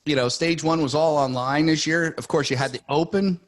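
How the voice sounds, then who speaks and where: A man's voice: fairly high pitch, fairly even intonation, fairly quick. A man hosting a casual podcast chat, talking loosely with many fillers and frequent laughs. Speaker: podcast host.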